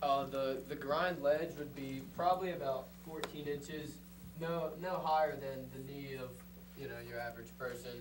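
Young male resident, informal hesitant presenter, medium pitch, recorded at a distance. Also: fairly even intonation, normal speed. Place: council meeting room, far camera. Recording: distant room mic, loud background noise.

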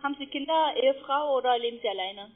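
A woman's voice: sits high, fast talker. A woman working as a call-centre agent, coaxing and chatty on a phone sales call. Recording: tinny, narrow-band phone line.

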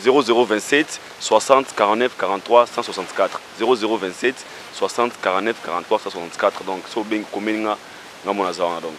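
A man in his thirties, a guest on an outdoor talk show. His voice sits fairly low, and he talks in a rambling, hesitant way, full of fillers, into a mic held out to him. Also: fairly quick, a bit bright, slight background noise. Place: outdoor garden by a wall. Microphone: handheld mic held by host.

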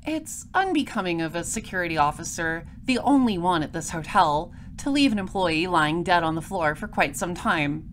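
A woman playing a dry, inquisitive space detective in an audio drama, speaking formally and deliberately. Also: fairly low pitch, animated, slight background noise, natural voice. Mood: dry, probing. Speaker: voice actor. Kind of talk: audio drama dialogue and first-person noir narration.

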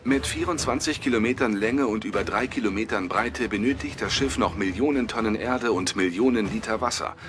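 A man's voice: lowish voice, fairly quick, hardly any pauses, over music. A man delivering a scripted documentary voice-over.

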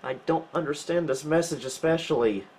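A man's voice: a little high, a little fast, a little mellow, slight background noise. A man talking casually and wryly as he thinks aloud through tech troubleshooting, hosting a home video indoors. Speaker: host of a retro computing video. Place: indoors, small home room.

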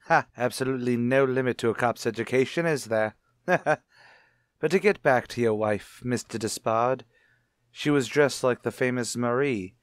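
An actor playing a shrewd, probing police captain with a sardonic manner in a radio mystery. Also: male voice, medium pitch, moderate pace, dry recording, studio quality, lightly acted. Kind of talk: retro radio mystery acting.

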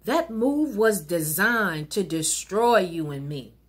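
Husky American woman reciting spoken-word poetry. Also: lowish voice, expressive. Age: middle-aged. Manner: deliberate, emphatic, preacherly cadence. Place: wood-panelled living room at home.

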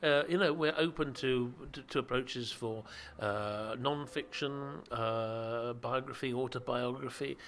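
A man's voice: mid-range voice, measured pace, hardly any pauses, a bit bright. A man answering interview questions about producing audio dramas, hesitant, with many fillers and restarts.

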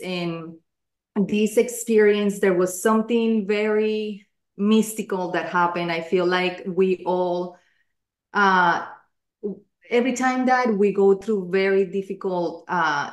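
Woman, 40s, from Colombia, fairly low voice, echoey home room. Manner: earnest, many fillers and restarts. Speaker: guest, life coach. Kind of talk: video call interview. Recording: roomy laptop audio.

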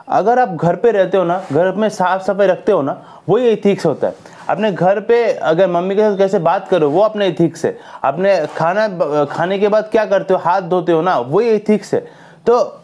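A young man in his twenties talking to camera on a lapel mic in a small indoor room, giving earnest, scolding fitness advice. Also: high-pitched. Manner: earnest, lively hand gestures, scolding advice. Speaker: fitness vlogger. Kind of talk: casual talk to camera.

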